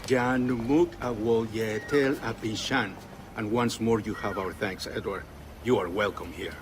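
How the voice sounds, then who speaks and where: An actor playing a grave, measured village leader and assassin mentor, speaking formally in a video game cutscene. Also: male voice, lowish voice, fairly even intonation, noisy background, lightly acted.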